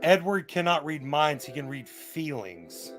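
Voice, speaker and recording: A man's voice: a little high, big pitch swings, a little slow, a bit bright, soft background music. A man playing along as a contestant in a trivia game, bantering casually. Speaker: quiz contestant.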